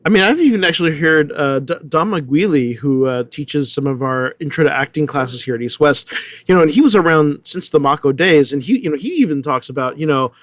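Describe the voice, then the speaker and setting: A middle-aged man talking casually in a panel discussion, telling anecdotes in a halting, rambling way. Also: on the high side, no room sound. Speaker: actor, panelist.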